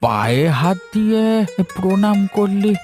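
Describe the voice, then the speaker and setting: A man plays an old village schoolteacher in a cartoon fairy tale. He speaks in a slightly high voice, humble and affectionate. Gentle music plays faintly behind him. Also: sing-song, measured pace. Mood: puzzled, humble.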